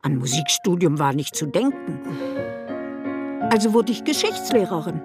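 A woman's voice: lowish voice, very animated, normal speed. An actress playing a strict, long-suffering middle-aged East German mother in a radio drama. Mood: reproachful. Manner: reproachful, strict. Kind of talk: radio drama monologue and dialogue. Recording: loud piano music behind.

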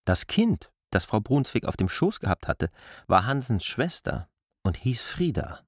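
Male literary narrator for radio drama, lively intonation, slightly low, dry acoustic. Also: measured pace, husky, no room sound.

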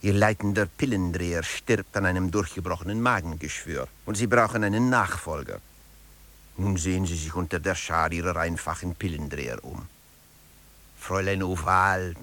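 A man's voice: low voice, a bit bright, exaggerated voice. An actor playing a management theorist who narrates his principle in a formal, didactic radio lecture.

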